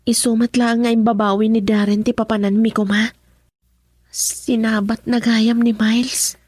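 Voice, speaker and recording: A woman's voice: fairly high pitch, little pitch movement, normal speed, darkish tone, husky, dry recording, studio quality. A woman acting an anxious young daughter in love in a radio drama, plaintive, with a Filipino accent. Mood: uneasy.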